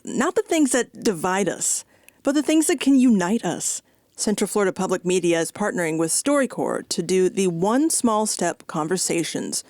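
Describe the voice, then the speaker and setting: A woman's voice: lowish voice, darkish tone, dry recording. A woman hosting public radio, presenting scripted links and putting questions to guests. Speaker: radio host.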